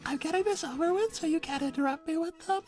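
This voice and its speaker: A woman's voice: very high voice, fast talker, a little mellow, faint music behind. A woman playing a stern, no-nonsense mother, sardonic and blunt, in an improvised character livestream. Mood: stern, impatient. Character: Davina, stern no-nonsense exasperated mother collecting money.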